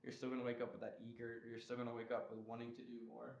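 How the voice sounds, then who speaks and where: A young man of middling pitch, the sort heard on a business-partner podcast in a bare echoey room, driving points home by repetition. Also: monotone, rapid-fire, creaky, darkish tone. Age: young adult. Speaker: interview guest, business partner. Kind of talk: casual chat. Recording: echoey room, dull lo-fi audio.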